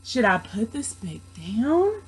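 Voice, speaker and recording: A woman voicing a gentle, protective male elephant in a children's storybook, speaking earnestly and emphatically. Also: a little high, big pitch swings, slow pace, husky, exaggerated voice. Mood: worried, resolute. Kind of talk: children's storybook read aloud.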